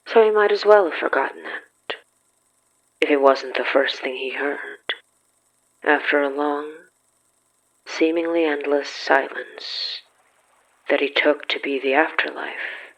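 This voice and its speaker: Slow, solemn male narrator. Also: fairly high pitch, fairly bright tone, rough recording. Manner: solemn, measured, with dramatic pauses. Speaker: narrator of a horror fiction podcast. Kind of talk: scripted literary narration.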